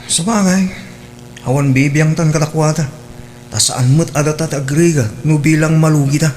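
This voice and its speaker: A man's voice: a little high, fairly even intonation, moderate pace, darkish tone, slight background noise, close to an everyday voice. A man playing a fussy, grudging and nosy husband in a querulous way, in a radio drama.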